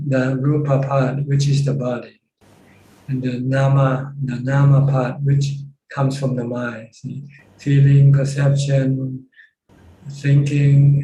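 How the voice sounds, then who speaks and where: A man with a soft-edged, very mellow voice explains Buddhist teaching step by step in a live question session. He pauses and repeats words as he goes. The room adds clear echo, with faint noise behind him. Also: mid-range voice. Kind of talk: informal live dhamma question-and-answer teaching.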